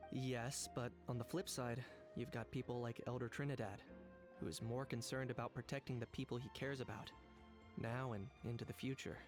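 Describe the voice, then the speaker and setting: Man voicing a measured young game monster hunter. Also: medium pitch, steady tone, over music, close to an everyday voice. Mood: grave, measured. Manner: measured, matter-of-fact. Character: calm, businesslike young male monster hunter. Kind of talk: video game voice acting.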